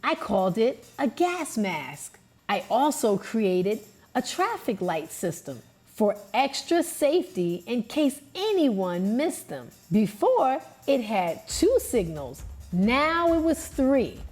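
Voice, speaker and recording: A woman's voice: fairly high pitch, very animated, slow pace, a little mellow, breathy edge, soft background music, heavily acted. A woman voicing a friendly, helpful male inventor from history in a storybook, welcoming and explanatory. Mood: friendly, proud.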